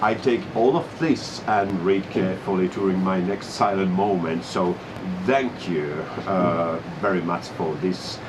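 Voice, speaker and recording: Slow-spoken Finnish man, deep voice. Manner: slow, hesitant, with many fillers. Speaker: man in a Santa Claus role greeting visitors. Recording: noisy room ambience.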